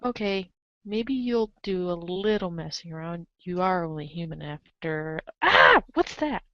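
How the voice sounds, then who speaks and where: A woman's voice: on the low side, very animated, unhurried, sharp tone, no room sound, muffled recording. A woman reading a webcomic script aloud as a reader's theater performer, with emphatic, playful exasperation. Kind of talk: animated dramatic reading of a webcomic, in second person.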